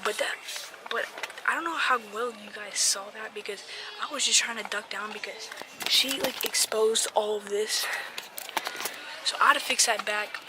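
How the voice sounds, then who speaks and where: A woman vlogging a prank from a hiding spot in a big store, talking in a hushed, often whispered voice. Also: medium pitch, moderate pace. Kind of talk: casual vlog narration. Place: inside a large retail store, hidden in a fort of bags. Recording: loud background store noise.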